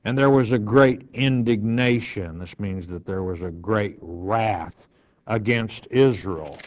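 A man's voice: lowish voice, takes it slowly. An older man, a pastor, teaching through the Bible verse by verse, reading scripture aloud and then explaining it in plain words with many fillers. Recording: dull lo-fi broadcast sound.

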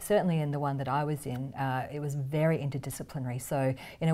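The deep-voiced, enthusiastic middle-aged woman academic you would hear sharing her experience on a university panel. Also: warm tone. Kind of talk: conversational panel discussion. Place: indoor studio panel set.

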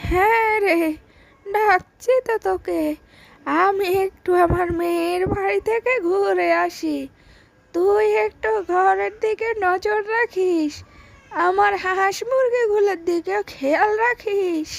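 A woman voicing an affectionate old village granny in a fairy-tale cartoon, with drawn-out, coaxing speech. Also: extremely high pitch, creaky, no room sound, voice pushed up, full character voice. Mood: reassuring. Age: older. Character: affectionate old village granny who keeps a pet fox.

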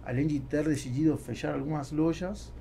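Gravelly Spanish-accented man, halting. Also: on the high side, fairly even intonation, mellow, a little hiss or hum. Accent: Spanish-accented Portuguese. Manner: halting, searching for words. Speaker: podcast guest, business executive. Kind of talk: conversational interview answers. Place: small foam-treated podcast studio. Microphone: close boom-arm studio microphone.

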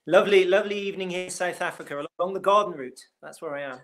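A man's voice: sits high, rapid-fire, a bit bright. A middle-aged man with a West Country English accent, a historian guest on a video call, speaking in a fluent storytelling way. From West Country of England. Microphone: video call webcam.

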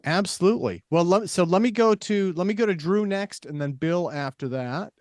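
A man's voice: high-pitched, normal speed, darkish tone, no room sound. A middle-aged man hosting an online open mic in a casual way, speaking into a studio microphone. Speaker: host. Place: indoor studio. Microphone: studio microphone on boom arm.

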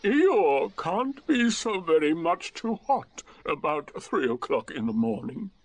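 A man voicing a melancholy, self-pitying donkey in a children's storybook, with drawn-out, lugubrious complaining. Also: a little high, big pitch swings, pitched above the performer's own voice, exaggerated voice. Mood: melancholy grumbling.

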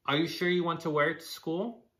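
Man playing a measured storybook dad, bright tone. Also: a little high, fairly even intonation, voice pushed up. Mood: cautiously supportive. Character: Jacob's father, measured, supportive man.

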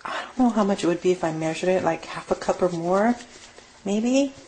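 A woman's voice: fairly low pitch, brisk, a little mellow. A woman giving a casual, rambling, unscripted cooking demonstration as a home cook in her kitchen. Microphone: handheld camera mic. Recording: noisy amateur camera audio with clicks.

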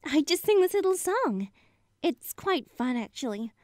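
A bookish unicorn pony from a cartoon radio play, voiced by a woman, brisk, earnest and take-charge. Her voice is very high and lively in pitch. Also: dry recording, studio quality. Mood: soothing. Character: studious earnest young female unicorn pony.

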